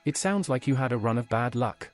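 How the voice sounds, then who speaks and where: A man's voice: medium pitch, animated, fairly quick, faint music behind, natural voice. A man's synthetic voice playing an inquisitive adventurer in a fantasy video game, asking things plainly. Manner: plain, questioning. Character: inquisitive male adventurer questioning people.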